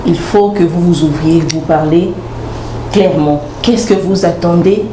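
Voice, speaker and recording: A woman interviewer speaks in a slightly low voice. She asks her questions conversationally, in a roomy, echoing space with clear noise behind her. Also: a little slow. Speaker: interviewer, host.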